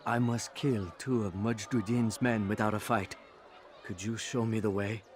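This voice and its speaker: An actor playing a deferential, flattering assassin informant in a video game, eager to please. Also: male voice, fairly low pitch, a little slow, slight background noise, lightly acted. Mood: fawning. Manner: fawning, eager.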